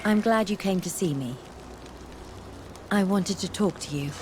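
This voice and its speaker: An actress playing an earnest, bookish young female scholar in a video game, speaking in a measured, articulate way. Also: medium pitch, lightly acted. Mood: shyly tender. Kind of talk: video game dialogue acting. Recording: music behind the voice.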